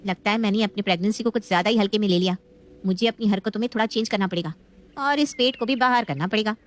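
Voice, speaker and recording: A woman's voice: mid-range voice, quick pace, lightly acted. A woman voicing a spoiled, scheming young married daughter in a family story, whiny and demanding. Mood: sly.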